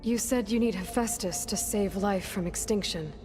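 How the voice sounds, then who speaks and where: A woman's voice: medium pitch, normal speed, darkish tone, faint music behind, lightly acted. A woman playing a determined, inquisitive young game heroine, speaking in a serious, direct and guarded way. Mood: questioning, serious. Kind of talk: scripted game dialogue.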